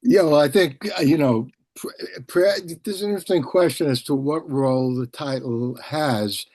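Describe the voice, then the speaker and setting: A man's voice: medium pitch, moderate pace, darkish tone, no echo. A man hosting a conversational interview on a video call, discursive, often repeating his words. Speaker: interview host.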